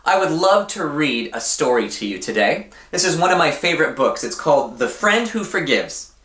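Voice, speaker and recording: A man reading a children's story aloud in an expressive, deliberate way, often putting questions to his young listeners. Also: fairly high pitch, roomy sound. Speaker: church storybook reader for children.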